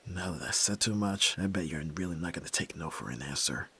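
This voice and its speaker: A man's voice: deep voice, lively intonation, a little fast, sharp tone, slight background noise, full character voice. A young man playing a brusque, tormented nerd who is a reluctant yakuza heir, in a dramatic audio roleplay, speaking huskily and curtly. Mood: resigned, subdued. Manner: brooding, curt, pushing the listener away.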